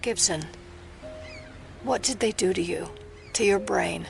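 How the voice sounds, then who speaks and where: Gravelly, serious female agent. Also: mid-range voice, unhurried, music in the background. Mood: suspicious, probing. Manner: grave, probing, controlled. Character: serious, skeptical female federal agent, steadfast and compassionate. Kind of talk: audio drama acting.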